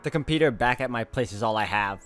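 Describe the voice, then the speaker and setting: A man's voice: mid-range voice, quick pace, a bit bright. A man with a mid-range voice reads story text from a game aloud, with faint music behind him. He drops in casual, chatty commentary as he plays. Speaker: game walkthrough player reading along.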